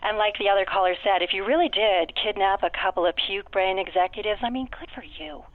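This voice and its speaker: An actress playing a brash, cynical professional escort calling a web show, blunt and slangy, through a telephone filter. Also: female voice, mid-range voice, brisk, dry recording. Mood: blunt, mocking. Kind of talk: audio drama acting. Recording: narrow tinny phone line.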